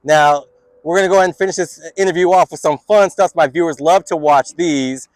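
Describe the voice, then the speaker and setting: A man's voice: a little high, fairly quick, no echo. Friendly man, questions with restarts. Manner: friendly, hesitant, repeats words while framing questions. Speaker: student interviewer and show host. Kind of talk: casual interview.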